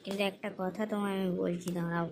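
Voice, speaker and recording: A woman's voice: medium pitch, flat delivery, quick pace, close to an everyday voice. A woman voicing a cheeky, sly young village boy in an amateur comic cartoon, wheedling and mock-innocent. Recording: faintly noisy amateur recording.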